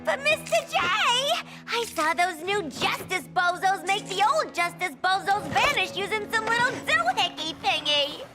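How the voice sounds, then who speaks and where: An actress playing a bratty, excitable young clown henchwoman with a whiny, childish delivery in a cartoonish game. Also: very high-pitched, measured pace, few pauses, over loud music, full character voice. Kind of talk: cartoonish video game voice acting.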